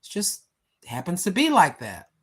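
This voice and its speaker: A woman's voice: on the low side, huge pitch swings, normal speed, a bit bright, husky. A woman in her sixties chatting casually on a live stream from home, rambling with many fillers and talking straight to her viewers. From United States. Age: 60s. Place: home, seated. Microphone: phone.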